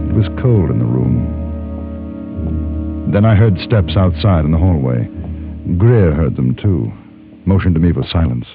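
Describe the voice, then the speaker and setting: A composed, sombre gentleman of the Old West, narrating a radio drama. His deep voice moves expressively with measured, literate phrasing. The vintage recording is thin and boxy. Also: creaky, heavily acted. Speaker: narrator and lead character. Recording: muffled vintage radio, music underneath.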